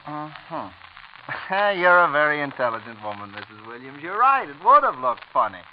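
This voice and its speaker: A young, unscrupulous prosecutor in a vintage radio crime play. He talks bluntly, with smug, needling confidence. The old recording is muffled and clearly noisy. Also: a little high, very animated, moderate pace. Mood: accusing, confident. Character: clever, brash, unscrupulous young male assistant district attorney. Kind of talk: 1940s radio drama acting.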